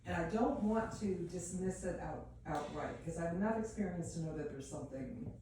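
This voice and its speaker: A middle-aged woman in an audience putting an earnest question in a measured way, searching for her words. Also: fairly low pitch. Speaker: audience member asking a question. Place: audience at a live event, away from the mic. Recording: very echoey, distant mic, some noise.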